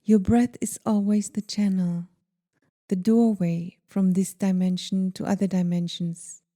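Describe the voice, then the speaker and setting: A woman guiding a meditation in a gentle, soothing, deliberate manner, leaving pauses between phrases. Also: medium pitch, fairly even intonation. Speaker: meditation guide. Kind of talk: guided meditation.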